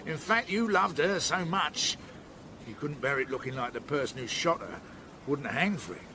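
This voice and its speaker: An actor playing a gruff, sardonic older chief inspector with a British accent in a radio drama, talking in a blunt, patronising way. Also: male voice, on the high side, animated, moderate pace, noisy background, close to an everyday voice. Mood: accusing.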